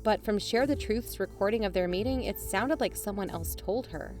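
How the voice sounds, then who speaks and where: A woman's voice: mid-range voice, normal speed, a little mellow, faint music behind, close to an everyday voice. A woman playing a pragmatic documentary-maker and concerned friend in an audio drama, conversational, frank and pressing. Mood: concerned, urgent. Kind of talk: naturalistic audio drama acting.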